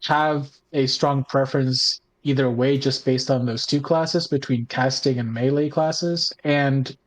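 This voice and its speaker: Podcast player just chatting, medium pitched voice, casual panel talk. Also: steady tone, measured pace, rough recording. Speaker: podcast cast member and player. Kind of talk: casual chat.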